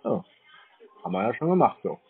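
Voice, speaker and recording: A man with a husky voice in a phone conversation as a customer, answering tersely and sounding slow to understand. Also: mid-range voice, big pitch swings. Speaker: scam baiter posing as customer. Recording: narrowband phone line.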